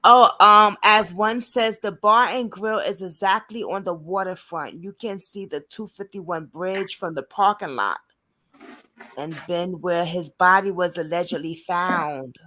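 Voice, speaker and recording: A woman's voice: fairly low pitch, measured pace, pushed and bright, slight background noise. A woman hosting an informal online discussion, talking casually as she thinks things through. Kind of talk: casual chat.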